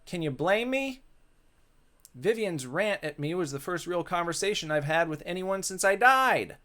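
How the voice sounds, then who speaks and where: A man's voice: sits high, expressive, normal speed, sharp tone, voice pushed up. A man voicing a mischievous, vain, lecherous male ghost in a theatrical, exclamatory way for a visual novel. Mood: defensive, boastful.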